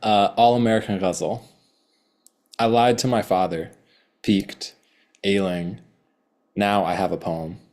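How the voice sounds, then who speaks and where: A man reading his own poems slowly and deliberately, with hesitant, rambling remarks between them. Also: on the low side, steady tone, a little mellow. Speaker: poet. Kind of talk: poetry reading with chatty asides.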